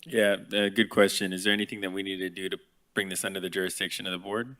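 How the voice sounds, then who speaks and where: A man chairing a city board meeting, running motions and votes in a relaxed, informal way. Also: sits low, fast talker.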